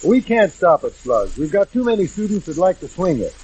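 An actor playing a mild, reasonable bandleader professor in a 1940s radio comedy, with a hesitant manner. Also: male voice, high voice, big pitch swings, fairly quick, a little mellow, husky, exaggerated voice, poor audio. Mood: mild, stalling. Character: mild bandleader professor bargaining with his would-be killer.

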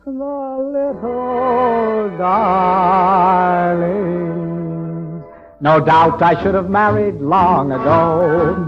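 Breezy bachelor sidekick, high voice, wisecracking old time radio, muffled sound. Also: male voice, drawn-out words. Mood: jaunty singing. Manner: breezy, joking, theatrical. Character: wisecracking, girl-chasing carefree bachelor sidekick. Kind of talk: 1940s-50s radio musical comedy acting. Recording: dull old radio recording, music behind.

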